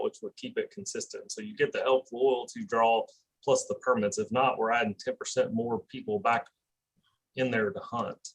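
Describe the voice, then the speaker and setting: A man's voice: mid-range voice, fairly bright tone, husky, rough recording. A man serving as a commissioner, making an explanatory comment in a formal meeting.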